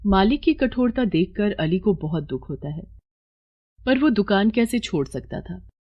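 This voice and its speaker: A woman's voice: medium pitch, big pitch swings, unhurried, muffled recording. A woman narrating a scripted moral story as storyteller.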